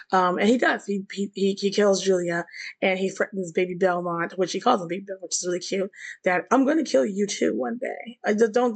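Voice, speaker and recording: A woman hosting a casual video commentary, chatty and giggly, swearing freely, her voice rough as she recovers from the flu. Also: mid-range voice. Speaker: video essay host.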